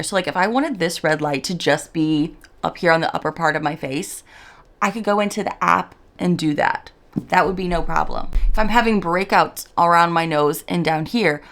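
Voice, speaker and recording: A woman around forty with a raspy morning voice, chatting in a rambling, casual way as she reviews a beauty product at home. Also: on the low side, a bit bright. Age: 40s. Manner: rambling, chatty, self-interrupting. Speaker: beauty vlogger.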